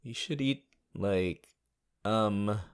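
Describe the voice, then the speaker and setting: A man's voice: lowish voice, very slow, smooth. A man voicing a goofy, whiny teenage anime boy, a clingy boyfriend rambling hesitantly in an audio roleplay. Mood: whiny, drawling. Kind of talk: improvised audio roleplay addressed to the listener.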